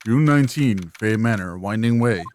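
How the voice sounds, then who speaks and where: A woman's voice: extremely low pitch, animated, moderate pace, darkish tone, slight background noise. A woman voicing a young male attorney in a video game, dry, earnest and inquisitive. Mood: pensive narration. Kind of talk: video game dialogue and inner monologue.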